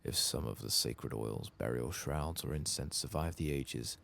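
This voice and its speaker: Man softly reading grave, very deep despairing elven exile. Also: unhurried, creaky, full character voice. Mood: resigned, bleak. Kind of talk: game quest dialogue read aloud softly.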